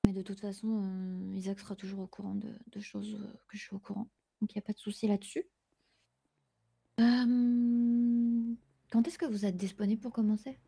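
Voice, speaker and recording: Une femme jouant une patronne de garage organisée dans un jeu de rôle improvisé, qui explique en hésitant. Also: female voice, medium pitch, little pitch movement, normal speed, creaky, mellow, slightly rough. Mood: calm, explanatory. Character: business-like woman boss of a car garage, orderly. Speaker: manager recruiting a new employee. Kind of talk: improvised online roleplay dialogue.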